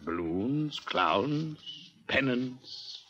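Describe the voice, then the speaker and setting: An actor playing a mysterious, formal client with precise, deliberate speech in a 1950s radio drama. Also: male voice, medium pitch, animated, fairly bright tone, slightly rough, highs cut off, full character voice. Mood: formal, commanding. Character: mysterious, measured client secretly promoting a Martian invasion.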